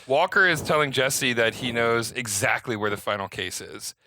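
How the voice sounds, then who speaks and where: Bright-voiced man in casual podcast chat. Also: mid-range voice, expressive, moderate pace, a little hiss or hum. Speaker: podcast co-host.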